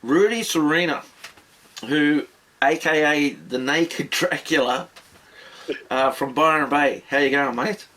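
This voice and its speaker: Man, host of a casual chat, dry joker, slightly high-pitched. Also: slow pace, bright, forceful tone, rough recording. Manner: dry joking.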